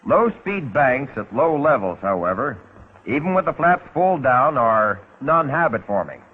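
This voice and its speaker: A man's voice: mid-range voice, big pitch swings, measured pace, breathy edge. An American man narrating a 1940s instructional film in a scripted, instructional way with folksy, wry touches. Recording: muffled, phone-like 1940s film soundtrack.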